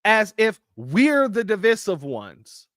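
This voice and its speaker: A livestream host speaks with heated emphasis. The pitch leaps up and down constantly. The sound is dry, with no room around it. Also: sing-song, slow, a bit bright, dry recording. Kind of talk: livestream commentary.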